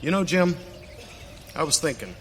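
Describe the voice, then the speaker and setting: A plain-spoken frontier buffalo hunter talks in rustic western style, high and bright. It's a radio play in a reverberant hall. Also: male voice, sing-song, normal speed. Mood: folksy, musing. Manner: folksy rustic western talk. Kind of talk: live western radio theater acting. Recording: reverberant hall, faint noise.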